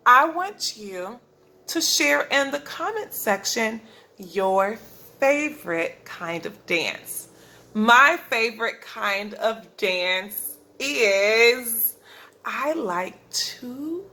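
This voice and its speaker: A woman reads a children's storybook in a high voice at a very slow pace. Her delivery is expressive and deliberate, like a preschool teacher addressing young listeners. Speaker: preschool teacher reading aloud. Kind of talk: children's storybook read-aloud.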